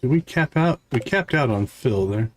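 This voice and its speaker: A man's voice: medium pitch, lively intonation, normal speed, a little hiss or hum. A man giving casual, chatty livestream game commentary, playful and given to singsong humming and repeated words. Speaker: livestream gamer host.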